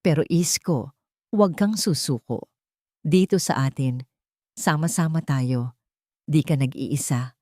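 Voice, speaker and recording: A woman's voice: low voice, unhurried, pauses often, mellow, no room sound, clean studio sound. A woman voicing a protective, comforting older village woman in an audio drama story. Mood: encouraging.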